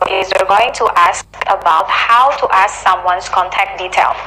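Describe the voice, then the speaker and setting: Bright, creaky woman teaching English. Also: mid-range voice, a little hiss or hum, poor audio. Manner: clear, slow explanatory teaching with example phrases. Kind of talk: English lesson for beginners.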